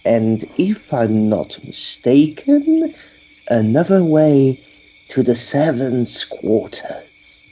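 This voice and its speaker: A man playing a measured Edwardian occult detective, dry and understated, in a tongue-in-cheek audio drama. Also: mid-range voice, big pitch swings, warm tone, roomy sound. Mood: dry, curious.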